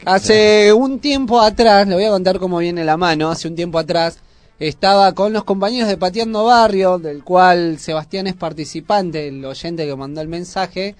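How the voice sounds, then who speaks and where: A man's voice: high voice, normal speed. A man with a Buenos Aires Argentine accent chatting casually as a radio host.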